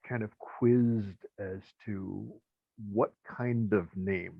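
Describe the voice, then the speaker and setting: A man hosting an interview, asking questions in a measured, reflective way. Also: fairly low pitch, steady tone, creaky, no room sound, phone-quality sound. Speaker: podcast host.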